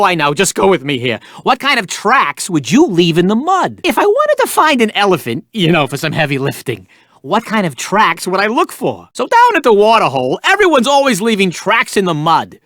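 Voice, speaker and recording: A man's voice: high-pitched, bright, forceful tone, no room sound, clean studio sound, exaggerated voice. A man voicing a brash, wisecracking cartoon meerkat who hosts a video game quiz with theatrical joking asides. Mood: wry, playful. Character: wisecracking, hammy cartoon male meerkat sidekick. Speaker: quiz game host character.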